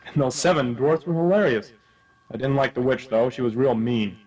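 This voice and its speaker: A man playing a hapless, wry private detective in a comic noir radio play, delivered deadpan. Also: medium pitch, normal speed, natural voice, poor audio. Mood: curious.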